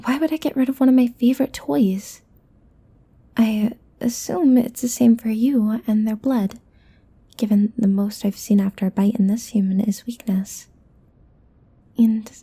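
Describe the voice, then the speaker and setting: A woman's voice: on the high side, steady tone, measured pace, creaky, long pauses, warm tone, breathy edge, exaggerated voice. A woman playing a possessive, teasing succubus demon in an ASMR roleplay, often dropping into a whisper. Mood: playful, sly.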